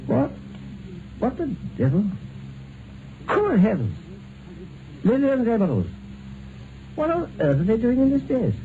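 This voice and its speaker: An actor playing a hearty, unsuspecting upper-class husband in 1950s radio drama, genial and clipped. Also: male voice, extremely high pitch, wildly swooping pitch, measured pace, long pauses, exaggerated voice. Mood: startled. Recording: noisy, narrow-band 1950s radio broadcast.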